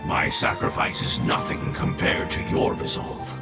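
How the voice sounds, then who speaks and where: A man voicing an ancient dying creature in a video game, weary, solemn and devoted, with formal, reverent speech and a gravelly growl. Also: measured pace, echoey room, distant mic, close to an everyday voice. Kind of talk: scripted video game voice acting. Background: game music.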